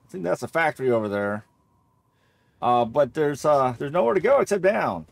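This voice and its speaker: Male gamer for casual playthrough commentary: lively swooping pitch, fry in the voice, jokey and rambling. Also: moderate pace, no echo. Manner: rambling, jokey, repeats himself.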